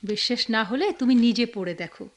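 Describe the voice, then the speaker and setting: An actress playing an affectionate, excitable sister-in-law with a coaxing, teasing way of talking in a radio drama. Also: female voice, a little high, brisk, a little hiss or hum, natural voice. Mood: excited, coaxing.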